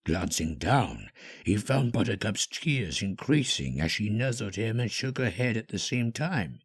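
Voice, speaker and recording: A man narrating a scripted story dramatically, with measured, hushed phrasing and pauses. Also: fairly low pitch, sing-song, slightly rough.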